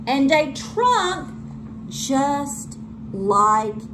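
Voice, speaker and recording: A woman teacher reading a children's storybook aloud with expressive delivery. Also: sits high, very slow, background noise.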